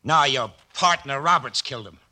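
An actor playing a boxer's bitter, accusing friend in a 1950s radio drama, intense and confrontational. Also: male voice, mid-range voice, lively intonation, moderate pace, bright, forceful tone. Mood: grim, accusing. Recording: vintage radio recording.

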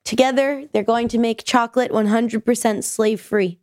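A woman's voice: fairly high pitch, steady tone, moderate pace, a little mellow, no echo, clean studio sound. A woman co-hosting a casual podcast, chatty and sick with a nasal voice. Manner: chatty, tells stories with acted quotes. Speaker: podcast co-host.

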